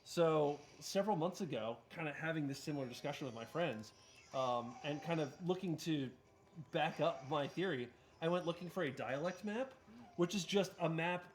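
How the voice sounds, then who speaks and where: Man, slightly high voice, casual storytelling, explains at length, faint background hiss. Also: normal speed. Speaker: marathon co-host. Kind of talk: casual chat, telling a story.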